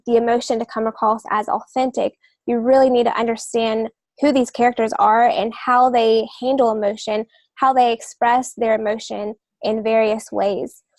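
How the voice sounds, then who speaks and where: Higher-voiced woman with creaky edge. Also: darkish tone, no echo. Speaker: podcast co-host and writer. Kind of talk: conversational writing-advice podcast.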